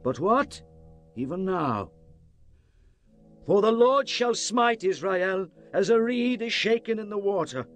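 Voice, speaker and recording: High-pitched stern prophet of doom. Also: male voice. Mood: foreboding. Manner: declamatory, grave. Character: old male prophet pronouncing doom, stern and solemn. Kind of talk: dramatized scripture reading. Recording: music bed behind the voice.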